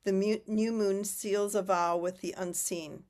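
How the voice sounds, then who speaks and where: A woman reading a prepared text aloud on a video call at a steady, measured pace. Her voice has not fully come back after she lost it. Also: medium pitch.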